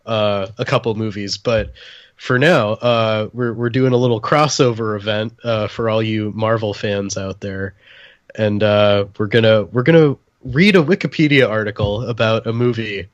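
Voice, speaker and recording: A man's voice: lowish voice, dry recording, highs cut off. A man chatting casually as a guest on a podcast. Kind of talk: casual chat.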